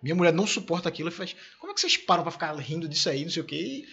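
A man's voice: a little high, big pitch swings, rapid-fire, creaky, bright, forceful tone, muffled recording. A man in his thirties from Brazil hosting a casual conversation, telling enthusiastic anecdotes about his family.

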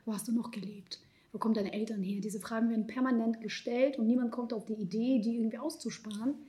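A middle-aged woman born in Ukraine and raised in Israel, speaking as a psychologist on a discussion panel, thoughtful and hesitant with frequent fillers and soft trailing asides. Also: a little high, fairly even intonation, fast talker.

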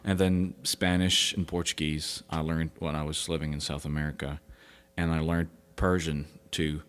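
A man whose first language is French, a musician guest speaking English in a relaxed, modest way with some hesitant fillers. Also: rumbling low, moderate pace, clear, resonant tone. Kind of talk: casual interview chat. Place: recording studio.

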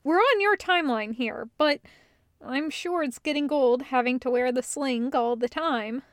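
A woman's voice: high voice, measured pace, dry recording, clean studio sound. A woman voicing a kindly graying man in a story, speaking hesitantly and considerately. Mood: tentative. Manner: hesitant, considerate, filler-heavy. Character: kindly, tentative, graying male friend.

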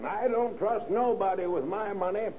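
An actor playing a suspicious old American prospector in a radio drama, with folksy, querulous, emphatic speech. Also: male voice, sits high, moderate pace, natural voice. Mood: stubborn, distrustful. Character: cantankerous, suspicious old prospector who distrusts everyone. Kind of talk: 1940s radio acting. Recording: scratchy, narrow-band old radio recording.

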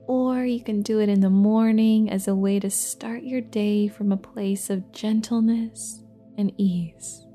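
A woman leading a guided relaxation meditation in a soft, gentle, breathy and soothing voice, leaving long pauses between phrases. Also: mid-range voice. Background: soft new-age music with singing bowls.

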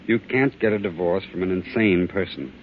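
An actor playing a grave family doctor in old-time radio drama, measured, questioning and authoritative. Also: male voice, low-pitched. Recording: tinny, noisy old radio recording.

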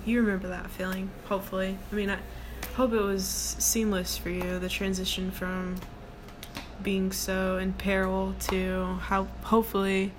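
A young woman with a deep voice hosting her own casual solo podcast from her workplace, rambling with many ums and likes in a relaxed way. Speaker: podcast host. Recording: noticeable background noise.

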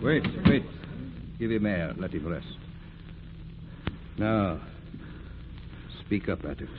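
A man acting a commanding, deliberate ship's skipper with authoritative, dramatic delivery in a 1940s radio drama. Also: low-pitched, expressive. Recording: muffled, noisy vintage radio drama recording.

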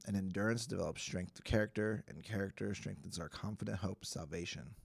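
A man with a hoarse, stuffy voice, giving a casual livestreamed Bible teaching in a chatty, self-deprecating way. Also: low voice, a little fast, no echo. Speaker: pastor.

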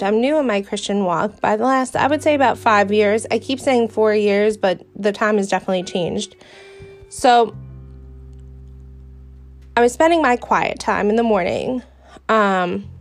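Earnest New York woman hosting a podcast. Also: mid-range voice. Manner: earnest and chatty, with fillers and restarts. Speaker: podcast host. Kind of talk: casual faith podcast monologue.

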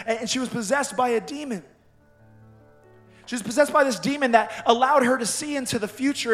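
Hoarse young man preaching to a youth group. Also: extremely high pitch. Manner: energetic, calls out to the crowd. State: hoarse, voice worn out. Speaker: youth pastor. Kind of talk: youth sermon.

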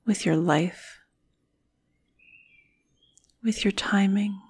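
Breathy woman guiding a slow meditation. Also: medium pitch, steady tone, a little mellow. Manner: soothing, breathy, phrase endings trailing into whisper. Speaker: meditation guide. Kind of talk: guided meditation narration.

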